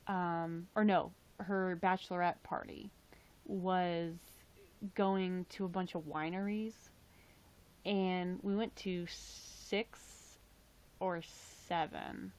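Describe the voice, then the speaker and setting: A young woman live streaming, chatting casually in a raspy, tired voice, rambling and swearing now and then. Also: on the low side, steady tone, takes it slowly, a little mellow.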